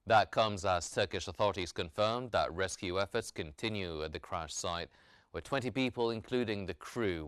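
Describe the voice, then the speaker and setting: Male news anchor, low and resonant, formal scripted bulletin delivery. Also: fairly bright tone, smooth, no echo, studio quality. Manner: formal, measured newsreader delivery.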